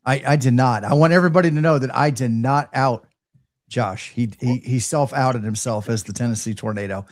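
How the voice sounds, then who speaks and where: A man telling stories in casual chat, halting and often repeating his words as a show host. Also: mid-range voice, dry recording, clean studio sound. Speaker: host of a live ham radio show.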